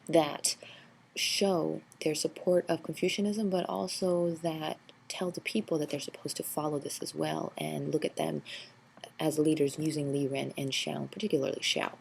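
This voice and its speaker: A woman with a low, gravelly voice narrates a history review over slides in a patient, explanatory teaching manner. She fills her pauses with ums and uhs. A faint hiss sits under the recording. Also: steady tone, darkish tone. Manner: explanatory, filler-heavy with frequent um and uh.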